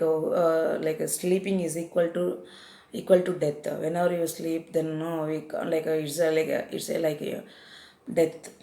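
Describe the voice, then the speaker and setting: A woman's voice: lowish voice, warm tone, roomy sound. A woman with an Indian English accent teaching confusable English words, repeating each definition and often hesitating with fillers. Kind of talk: vocabulary lesson.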